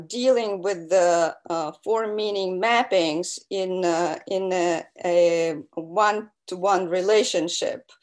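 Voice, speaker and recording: Slow, halting woman giving a lecture. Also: medium pitch, darkish tone. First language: Russian. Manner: hesitant, many fillers. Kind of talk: academic lecture over video call. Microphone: video call.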